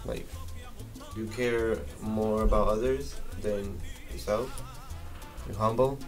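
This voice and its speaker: Young guy talking real slow on a radio chat, faint music behind. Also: lowish voice, steady tone. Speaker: radio co-host. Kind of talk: casual youth radio chat.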